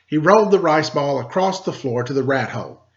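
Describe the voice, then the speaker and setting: A man tells a children's story with expressive narration. His voice is pitched slightly high. The recording sounds a bit dull and lo-fi. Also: a little fast.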